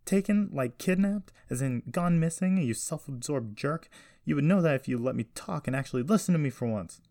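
A man's voice: a little high, expressive, brisk, warm tone, pitched above the performer's own voice. A man voicing an angry, frantic young woman with an urgent, exasperated manner, in an audiobook story. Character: angry, frantic teenage granddaughter, defiant and urgent.

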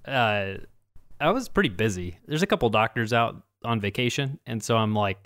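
Lively, playful male podcast co-host. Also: lowish voice, moderate pace. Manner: playful, agreeable, plays along with jokes. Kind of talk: casual banter about movies.

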